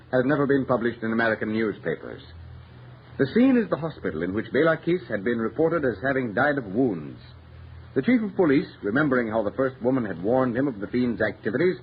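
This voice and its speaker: A man delivering scripted 1930s radio mystery narration in a formal, declamatory, dramatic manner. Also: medium pitch. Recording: muffled, hissy old radio recording.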